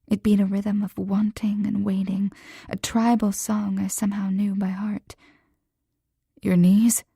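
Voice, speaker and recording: Breathy whispering woman narrator. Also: medium pitch, flat delivery, normal speed, dry recording, studio quality. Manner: soft, breathy whisper throughout. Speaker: audiobook narrator. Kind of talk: scripted first-person romance narration.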